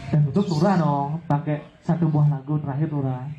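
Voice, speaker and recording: A male host with a slightly high, mellow voice gives relaxed, chatty closing remarks. The sound is dull and roomy, with faint background noise. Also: brisk, faint music behind. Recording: dull, echoey room.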